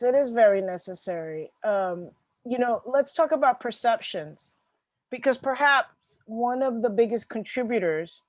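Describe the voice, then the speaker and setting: A woman's voice: mid-range voice, darkish tone, dry recording. Conversational woman podcast host. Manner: conversational, agreeable, repeats phrases.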